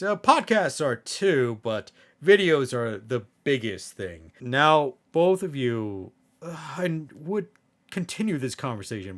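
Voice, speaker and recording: A man playing a chatty, fussy hellhound brother in skit banter, explaining and coaxing in a hesitant way. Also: medium pitch.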